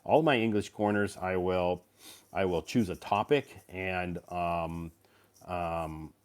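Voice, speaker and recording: A man's voice: sits low, slow, smooth. A man hosting a casual live stream, recovering from a cold and rambling with many fillers and restarts. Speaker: live stream host and English teacher.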